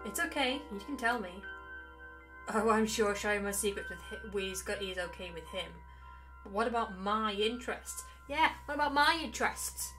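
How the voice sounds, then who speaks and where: A woman's voice: medium pitch, lively intonation, normal speed, close to an everyday voice. A woman voicing a sassy, smug young male jester in a visual novel, teasing and theatrical. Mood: indignant. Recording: music behind the voice.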